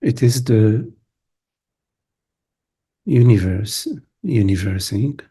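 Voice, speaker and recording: Guy leading a meditation, really slow and breathy, soft dark tone, lots of long pauses. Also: lowish voice. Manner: unhurried, contemplative, long pauses between short phrases. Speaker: meditation teacher. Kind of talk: guided meditation, spoken softly to listeners.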